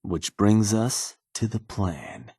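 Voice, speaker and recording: A cynical gang advisor from a video game, speaks in a very deep, husky voice. He is laid-back and slangy, with sly, folksy menace as he addresses his boss. The sound is close and dry. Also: lively intonation, takes it slowly, mellow, no room sound, heavily acted. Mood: casual, menacing. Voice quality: husky, low. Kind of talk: video game character dialogue.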